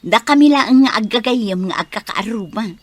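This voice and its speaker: A woman's voice: a little high, animated, normal speed, no echo. An actress playing a lovestruck young woman in an Ilocano radio drama, speaking with an Ilocano accent. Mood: earnest, pleading. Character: lovestruck young woman hosting her birthday drinking party.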